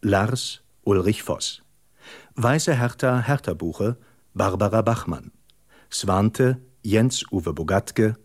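Male announcer for radio drama credits: lowish pitch, formal, very slow. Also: lively intonation.

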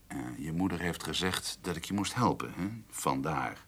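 A man's voice: extremely low pitch, moderate pace, a bit bright, slightly rough, exaggerated voice. An actor playing a shifty, evasive male notary in a radio play, hesitant and insinuating as he guards his reputation. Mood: guarded, evasive. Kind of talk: radio drama dialogue.

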